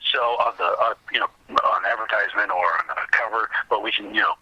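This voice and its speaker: Very sharp, bright-voiced man, dry sound. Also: on the low side, steady tone, fast talker, husky, no echo, highs cut off. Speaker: podcast guest, film maker. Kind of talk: casual interview conversation.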